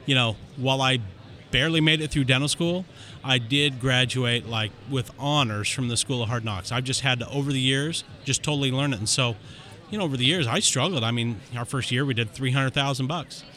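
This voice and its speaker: A man guest on a podcast, candidly telling his story in an animated way, with quick rushes of speech. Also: mid-range voice. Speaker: dentist guest. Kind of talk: casual interview storytelling.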